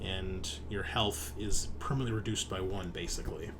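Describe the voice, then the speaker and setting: A man's voice: sits low, normal speed, noisy background. Informal game master, man thinking aloud. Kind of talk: explaining tabletop game rules and scenes.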